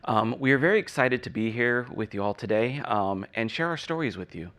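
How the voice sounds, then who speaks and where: A man's voice: medium pitch, expressive, a little fast, a bit bright. A man moderating a panel discussion, hesitant and filling with many ums as he reads prepared remarks.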